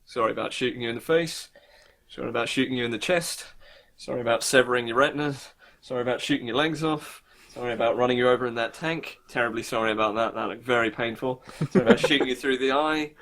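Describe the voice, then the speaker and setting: A man playing an absurdly polite killer who apologises in a deadpan, repetitive way in a podcast bit. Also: medium pitch, quick pace, natural voice. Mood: mock apologetic.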